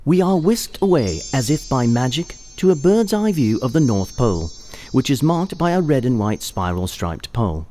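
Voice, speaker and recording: A man's voice: medium pitch, sing-song, normal speed, a little mellow, lightly acted. A man narrating a scripted audio play in a descriptive storytelling manner.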